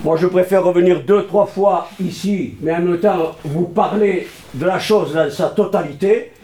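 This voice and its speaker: A man with a Southern French accent from Montpellier, talking about theatre to a group in a lively, theatrical way with booming bursts. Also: measured pace, reverberant. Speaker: theatre teacher.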